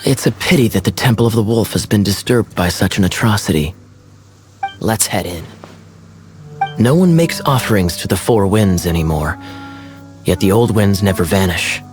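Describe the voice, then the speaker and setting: Guy doing a cocky smooth knight captain from a game, lowish voice, composed, music behind. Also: animated, normal speed, pauses often, natural voice. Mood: wry, confident. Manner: smooth-talking, teasing, composed. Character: suave, self-assured young male knight captain. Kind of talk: video game voice acting.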